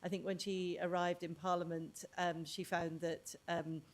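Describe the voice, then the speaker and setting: Woman, panelist, measured, low, flat. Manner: measured, thoughtful. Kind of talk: panel discussion answer.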